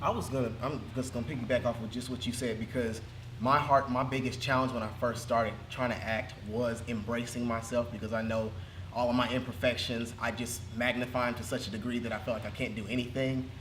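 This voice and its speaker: A man's voice: medium pitch, steady tone, brisk, a bit bright, echoey room, a little hiss or hum, poor audio. A man who is an acting student, speaking earnestly and reflectively in a casual class discussion.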